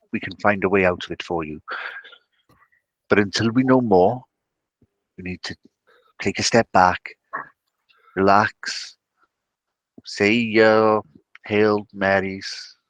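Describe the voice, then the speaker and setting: A man acts out a mild cleric in a tabletop roleplay, with a lowish voice recorded close and dry. He sounds soothing and mock-pious, picking his way evasively through each phrase. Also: steady tone, takes it slowly, pauses often, fairly bright tone, no echo, poor audio. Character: mild, evasive male cleric offering bureaucratic comfort.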